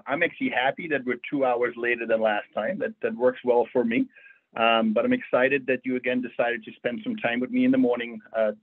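A man's voice: medium pitch, breathy edge. Man on a tinny call. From Netherlands. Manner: friendly, conversational with frequent fillers. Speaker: bank financial educator. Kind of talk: webinar presentation. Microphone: video call. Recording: tinny phone-like audio.

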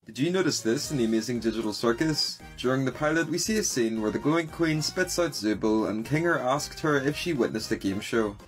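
A man's voice: mid-range voice, animated, fairly quick, hardly any pauses, over music, rough recording. A man reading scripted narration for short trivia videos.

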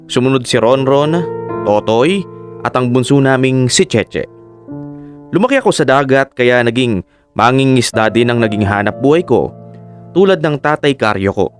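A man in his thirties playing a hardworking fisherman and father, narrating his own story in a measured, reflective radio-drama voice. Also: medium pitch, natural voice. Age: 30s. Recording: narration over clear piano music.

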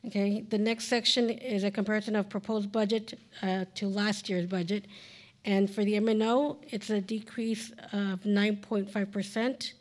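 A woman's voice: medium pitch, fairly even intonation, normal speed. A woman in her forties, a school district finance officer, reading budget figures at a board hearing into a podium microphone, with many fillers and self-corrections. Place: large council chamber, indoors.

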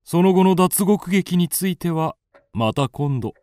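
Writer, lively and dry-recorded. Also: male voice, high voice, a little slow, no echo. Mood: intrigued. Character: composed, attentive male writer. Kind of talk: radio drama acting.

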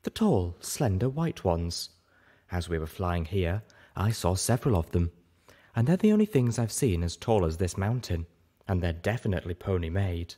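A man voicing a refined, polite, inquisitive female pony with a soft, measured manner in a story reading. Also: low voice, sing-song. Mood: curious.

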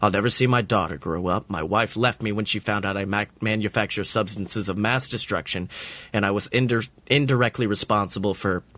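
A man voicing a weary older male chemistry teacher, speaking low and flat in a deadpan way. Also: brisk, vocal fry, few pauses, dry recording, exaggerated voice. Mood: grim.

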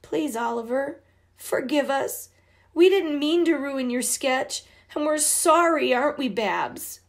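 A woman voicing little girl Debbie, high and loud. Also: lively intonation, slow pace, full character voice. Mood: pleading.

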